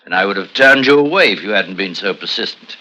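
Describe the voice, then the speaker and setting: An actor playing a middle-aged professor who is a coolly menacing spy chief, urbane and deliberate, in a 1950s radio thriller. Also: male voice, lowish voice, expressive, pushed and bright. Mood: smug, menacing. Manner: urbane, deliberate, savouring pauses. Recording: muffled, noisy old radio recording with clicks.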